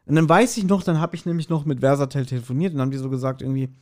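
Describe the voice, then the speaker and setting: Higher-pitched man telling casual anecdotes. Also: very fast. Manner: animated retelling with acted-out quotes. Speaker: podcast host. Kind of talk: casual chat, anecdotal storytelling.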